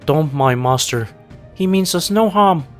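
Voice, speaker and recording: A man voicing a gentle animated skeleton who soothes and protects, earnest and tender, in a fantasy audio play. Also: fairly high pitch, measured pace, music in the background, voice pushed up. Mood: reassuring, earnest.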